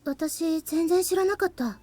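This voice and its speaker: A woman's voice: extremely high pitch, brisk, darkish tone. A woman voicing a gossipy teenage schoolgirl in an anime-style game, casual and chatty. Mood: surprised, gossipy. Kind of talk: anime-style visual novel acting.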